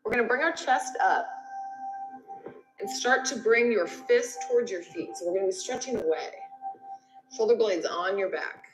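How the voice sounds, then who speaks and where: A woman with a mid-pitched voice teaches yoga, cueing each movement in turn. She is encouraging and conversational. The room rings with a noticeable echo, and music plays clearly behind her. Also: normal speed, pauses often. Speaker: yoga instructor. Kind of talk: guided yoga class instruction, step-by-step cues.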